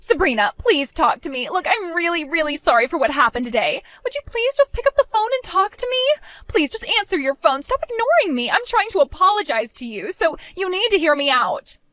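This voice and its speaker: A woman voicing a spiteful, bratty young woman in an animated story, with a mocking, whiny, shrill manner. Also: moderate pace, no room sound, clean studio sound. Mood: pleading.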